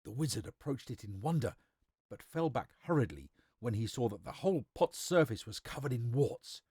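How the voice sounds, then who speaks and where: Animated male storyteller, dry sound. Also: medium pitch, normal speed, breathy edge, dry recording. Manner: theatrical, emphatic. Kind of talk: dramatic storybook narration.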